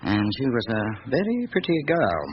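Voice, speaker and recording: An actor with a British accent playing a courteous, discreet elderly notary in a radio drama, speaking in a measured, formal way. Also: male voice, medium pitch, huge pitch swings. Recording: dull, noisy old radio recording.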